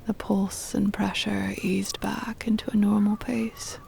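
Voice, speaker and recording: A woman playing a centuries-old vampire mistress, tender and possessive, in a hushed, breathy ASMR whisper with deliberate, formal wording. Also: mid-range voice, level tone, slight background noise. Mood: tender reminiscing. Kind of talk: ASMR roleplay, intimate scripted monologue.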